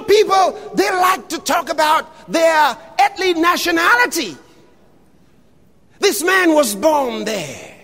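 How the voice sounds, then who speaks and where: A man preaching an impassioned sermon, shouting scripture with long drawn-out phrases. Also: extremely high pitch, big-room sound.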